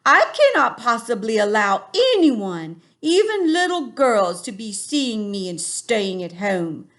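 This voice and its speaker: A woman booming as a big friendly giant. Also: sing-song, measured pace, fairly bright tone. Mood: pleading. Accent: put-on funny English. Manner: booming, mangled grammar. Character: huge kindly male giant, garbled words.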